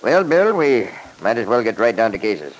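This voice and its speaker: A wealthy, stubborn older father from an old-time radio drama. He speaks in an imperious, blustering way, his pitch swinging widely as he lays down the law. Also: big pitch swings, normal speed, slight background noise, poor audio. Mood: businesslike.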